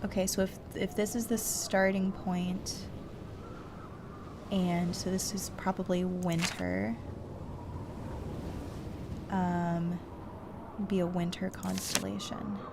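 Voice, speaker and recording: A woman doing casual let's-play commentary, thinking aloud in a halting, self-questioning way. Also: lowish voice, little pitch movement, vocal fry, noisy background. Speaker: host playing a video game.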